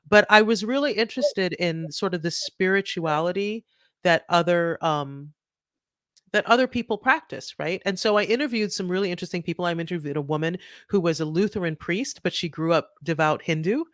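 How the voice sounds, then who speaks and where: A middle-aged woman from Trinidad, a guest author talking in an animated way with many restarts and "right?" tags. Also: fairly low pitch, dry recording, clean studio sound. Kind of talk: conversational interview.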